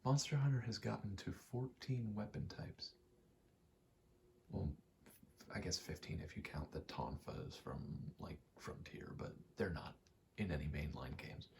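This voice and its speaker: Very low, gravelly, soft-spoken man. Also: a little hiss or hum. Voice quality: soft-spoken, breathy, often dropping to a whisper. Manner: halting, meandering, casually profane. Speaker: gaming video creator. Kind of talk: rambling ASMR game commentary.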